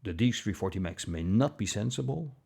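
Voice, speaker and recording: A man reading a scripted product review in a measured, deliberate manner, with short pauses between phrases. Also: lowish voice, animated, gravelly, a little mellow, husky. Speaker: audio gear reviewer.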